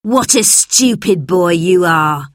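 A woman plays a big, cross schoolmistress from a children's story. She scolds and barks orders imperiously. Her delivery is slow and deliberate, with some creak in the voice. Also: mid-range voice, a bit bright, dry recording, clean studio sound. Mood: angry, scolding. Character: large, stern, bad-tempered schoolmistress who bullies children.

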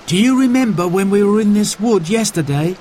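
A man reading aloud plays an eager young boy in a children's storybook. His voice is pitched high and bounces with lively, excited phrasing. He sounds urgent and hopeful. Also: a little fast, a little hiss or hum.

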